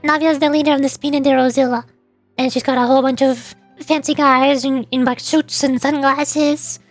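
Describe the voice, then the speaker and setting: A woman's voice: high voice, level tone, normal speed, soft background music. A woman chatting casually on a live game stream as a virtual YouTuber, rambling along as she talks. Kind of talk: casual live stream chat while reading game dialogue.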